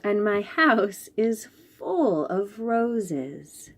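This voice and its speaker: A woman storyteller reading picture books aloud to young children, gently and with wonder, pausing to chat to the listeners. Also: medium pitch, sing-song. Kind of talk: expressive picture-book read-aloud with asides to young listeners.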